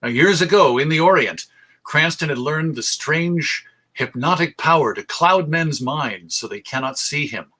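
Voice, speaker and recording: A man presents a history talk in a conversational, storytelling manner. His voice is fairly high and bright, with emphatic delivery. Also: expressive, unhurried. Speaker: presenter, radio show host. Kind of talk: illustrated history talk to an audience.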